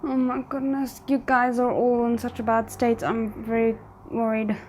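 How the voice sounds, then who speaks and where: A woman's voice: fairly high pitch, monotone, moderate pace, a little mellow, slight background noise. A woman doing casual reaction commentary, thinking aloud in tentative asides as she watches. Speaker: reaction video host.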